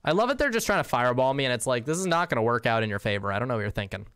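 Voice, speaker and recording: A man's voice: medium pitch, sing-song, racing, fairly bright tone, clean tone, dry recording. A young man casually chatting with viewers while playing a game, slangy and easygoing, with the odd swear. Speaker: streamer. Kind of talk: casual game livestream, reading chat.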